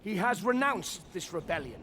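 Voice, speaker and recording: An earnest Roman officer in a game cutscene. He proclaims formally in a high, very animated voice, with faint ambience behind him. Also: moderate pace, a little hiss or hum, full character voice. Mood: formal, proclaiming. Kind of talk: video game cutscene acting.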